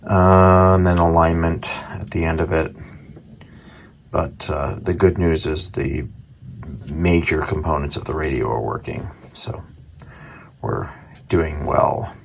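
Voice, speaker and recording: A man's voice: extremely low pitch, fairly even intonation, slow, vocal fry, slight background noise. A man narrating a hands-on repair in a casual, unscripted way, thinking aloud with some hesitation. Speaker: radio repair hobbyist presenting his work.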